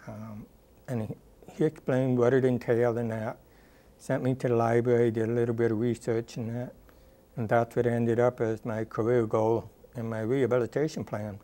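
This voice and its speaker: Mellow-voiced older man recalling his career. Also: mid-range voice, little pitch movement. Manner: thoughtful, unhurried, many ums and uhs. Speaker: interviewee, rehabilitation counselor. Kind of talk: oral history interview. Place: dark studio, indoors. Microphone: lapel mic.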